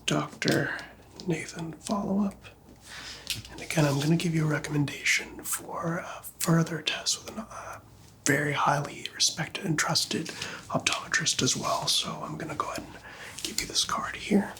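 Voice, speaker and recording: A man whispering gently and reassuringly in a breathy voice, playing a doctor in an ASMR medical roleplay. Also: a little high, steady tone, normal speed. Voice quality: breathy, mostly unvoiced whisper.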